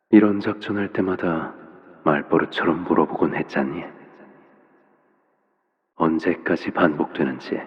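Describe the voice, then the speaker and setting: A man voicing a soft-spoken, menacing father figure of a crime syndicate in a video game, with gentle parental phrasing. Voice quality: very deep, low voice. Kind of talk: scripted video game voice acting. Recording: long reverb, dull muffled sound.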